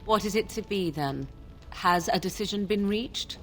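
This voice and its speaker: A woman's voice: medium pitch, a bit bright, faint music behind. A woman voicing a cool, sly young witch in a video game, with haughty, archaic phrasing. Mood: sly, composed. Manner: archaic diction, haughty, deliberate. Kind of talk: video game dialogue acting.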